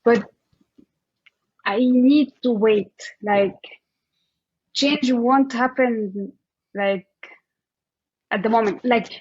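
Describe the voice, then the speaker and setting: A woman's voice: fairly high pitch, a little mellow, slightly rough. Interview guest: woman with an Egyptian accent, conversational and searching for words, recorded muffled and a bit roomy. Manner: halting, repeats "like" as she thinks aloud.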